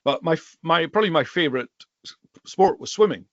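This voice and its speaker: A middle-aged man from the Western Isles of Scotland, a native Gaelic speaker with a Highland accent, chatting conversationally as an interview guest. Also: lively intonation, brisk, dry recording, muffled recording. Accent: Scottish Highland. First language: Scottish Gaelic. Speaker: guest, bookseller and Gaelic teacher.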